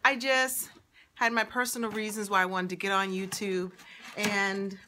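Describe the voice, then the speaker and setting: A hoarse woman in her forties talks to her phone at arm's length, her raspy voice bright and pointed. She stretches her words slowly in a cheerful, chatty way, with faint clicks behind her. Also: medium pitch, a little hiss or hum. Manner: chatty and upbeat, drawn-out phrasing. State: hoarse from a night of laughing. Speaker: vlogger. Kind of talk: casual vlog to camera. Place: parked car, then an office. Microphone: phone camera at arm's length.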